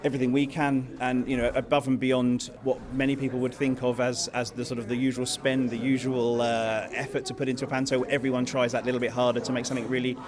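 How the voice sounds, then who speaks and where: A man's voice: medium pitch, little pitch movement, fast, hardly any pauses. A man in a casual interview, talking emphatically and piling up repeated phrases at a busy event. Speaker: pantomime producer interviewed. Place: busy theatre at an awards event. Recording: busy crowd chatter behind.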